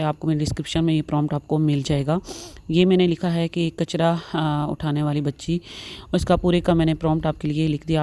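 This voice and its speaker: A woman's voice: deep voice, warm tone, slight background noise. A woman narrating a step-by-step tutorial, mixing English words into her speech and repeating her instructions. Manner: repetitive instructional phrasing with English words mixed in. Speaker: tutorial host.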